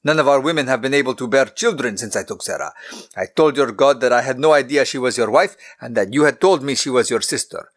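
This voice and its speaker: A man voicing an angry ancient king who speaks in a forceful, accusing way. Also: mid-range voice, fast, pitched above the performer's own voice, close to an everyday voice.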